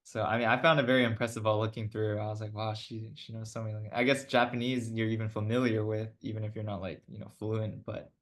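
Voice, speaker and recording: Laid-back interviewer, middle pitch, lots of "like" and "i guess". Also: level tone, fast talker, hardly any pauses. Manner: informal, many "like" and "I guess" fillers. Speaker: oral history interviewer. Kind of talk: casual interview questions.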